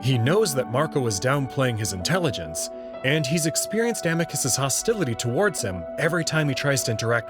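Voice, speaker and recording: A man reading scripted narration and commentary as narrator and host, articulate and expressive. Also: mid-range voice.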